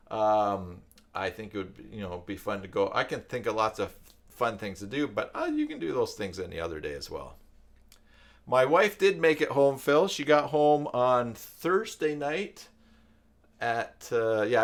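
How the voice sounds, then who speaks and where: An older man hosting a live stream, chatting casually in a rambling, reflective way with hesitant pauses. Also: mid-range voice. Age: older, with grandchildren.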